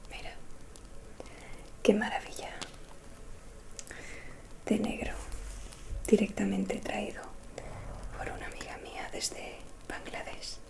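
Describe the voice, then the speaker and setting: A woman from playing a gentle, mysterious fortune-telling witch in an ASMR roleplay, speaking in a soft, soothing whisper. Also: mid-range voice, flat delivery, vocal fry. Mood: gentle, attentive. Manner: slow, soothing, confiding.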